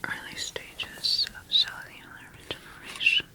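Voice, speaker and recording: A woman playing a clinical sci-fi scientist in a whispered ASMR roleplay, dictating observations in a measured, breathy voice. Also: sharp tone. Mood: calm, observant. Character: clinical female sci-fi scientist studying her engineered creature.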